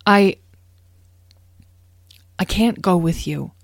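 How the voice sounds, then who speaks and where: A woman's voice: on the low side, drawn-out words, vocal fry, no echo, studio quality, pitched above the performer's own voice, heavily acted. A woman voicing an earnest young male dragon, a loyal son in a storybook, hesitant and pleading. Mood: nervous.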